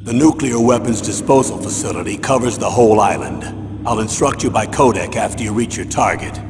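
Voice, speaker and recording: An actor playing a stern older military commander, with a measured, grave, authoritative way of briefing in a video game. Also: male voice, fairly low pitch, close to an everyday voice. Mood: businesslike. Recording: long echoing reverb, music underneath.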